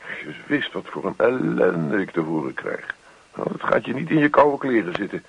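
An actor playing a grumpy, weary middle-aged husband in a naturalistic radio play, grumbling and sarcastic. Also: male voice, lowish voice, big pitch swings, moderate pace, gravelly, slightly rough, no echo, heavily acted. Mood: burdened, earnest. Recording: dull, dry radio play recording.

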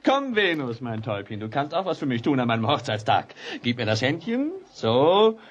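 An actor playing an elegant young French bridegroom in a radio play, boastful, impulsive and hot-headed, given to exclamations. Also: male voice, mid-range voice, big pitch swings, moderate pace, dull audio. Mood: exuberant, excited. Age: young adult. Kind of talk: radio play dialogue acting.

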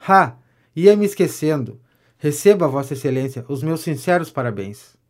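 A man acts out a self-important gentleman of letters in a period comedy audio drama. He has a Brazilian accent and a fairly high male voice, and he declaims pompously, lavish with flattery. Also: moderate pace, lightly acted. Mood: self-satisfied, fawning. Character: vain, self-important gentleman pamphleteer, pompous political know-it-all. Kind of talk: audio drama of a 19th-century stage comedy.

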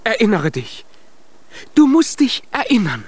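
A tiny, plucky young giant in a children's radio play. The voice sits high and swings widely in pitch, taking its time over the words. He is eager, boastful and earnest, often exclaiming. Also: huge pitch swings, measured pace, breathy edge, full character voice. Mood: eager, determined.

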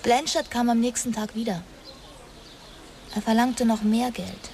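An actress playing a guarded daughter who haltingly confesses a family secret in a radio crime drama. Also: female voice, a little high, steady tone, gravelly, darkish tone, a little hiss or hum. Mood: guarded, confessing. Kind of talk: dramatic radio play acting.

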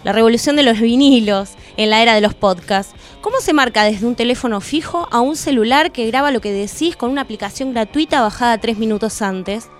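A woman's voice: a little high, brisk, hardly any pauses. A woman with an Argentine accent delivering a scripted spoken-word monologue as a radio presenter. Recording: music bed under the voice. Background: music.

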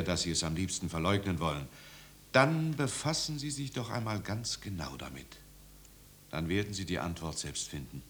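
An actor playing a kindly middle-aged village pastor in a 1950s German radio drama, patient and instructive. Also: male voice, low voice, a bit bright. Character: kindly, measured village pastor, fatherly host. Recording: noisy 1950s radio recording.

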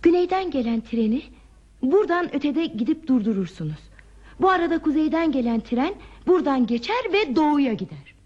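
An actress playing a troubled, reflective woman traveler in a radio play, with deliberate, poetic phrasing and a breathy voice. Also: high voice, lively intonation, darkish tone. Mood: concerned. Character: pensive, troubled woman traveler stranded at a remote station. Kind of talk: Turkish radio theatre acting. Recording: muffled old radio drama recording.

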